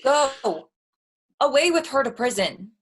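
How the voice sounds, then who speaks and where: Animated, scolding magistrate, played by a woman. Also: sits high, slow pace, husky, full character voice. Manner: commanding, scolding. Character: stern, exasperated magistrate Escalus. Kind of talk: staged reading of Shakespeare.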